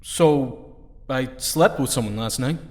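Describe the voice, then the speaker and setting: An actor playing a grieving young superhero in an audio drama, earnest and halting in his delivery. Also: medium pitch, no room sound, studio quality, lightly acted. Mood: confiding. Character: conflicted grieving young male superhero team leader.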